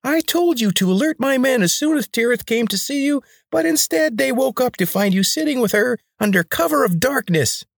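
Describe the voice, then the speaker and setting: A man voicing a ruthless male rebel leader with a high, grating voice, in an audiobook. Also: lively intonation, normal speed, creaky, hardly any pauses, no room sound, studio quality, exaggerated voice. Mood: commanding. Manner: commanding, menacing.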